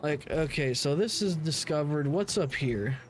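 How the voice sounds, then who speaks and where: Animated higher-voiced man, gaming stream. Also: a little slow. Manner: sweary, slangy. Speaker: game streamer. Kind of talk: casual livestream chat while gaming.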